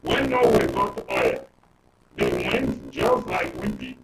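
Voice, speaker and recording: Gravelly Nigerian man in a noisy, echoey room. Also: a bass voice, huge pitch swings, slow. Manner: emphatic, explains step by step with rhetorical check questions. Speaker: panel guest, expert commentator. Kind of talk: explanatory live discussion with everyday analogies. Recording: roomy, distant mic, clear noise and clicks.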